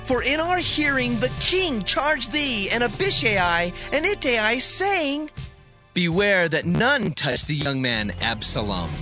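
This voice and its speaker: An actor playing a frightened, indignant common soldier in a dramatized audio Bible, protesting emphatically in archaic scriptural phrasing. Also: medium pitch.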